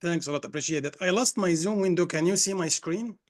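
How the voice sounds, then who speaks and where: A man from Lebanon, an executive coach, presenting on a video call. He talks fast and often restarts his sentences. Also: high-pitched. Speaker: executive coach, guest speaker. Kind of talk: webinar presentation.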